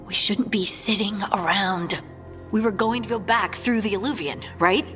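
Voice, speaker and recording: A woman's voice: medium pitch, moderate pace, a bit bright, big-room sound, faint music behind, natural voice. A woman playing a restless, driven, blunt fighter in a fantasy video game, speaking in clipped, insistent phrases. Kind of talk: video game cutscene dialogue.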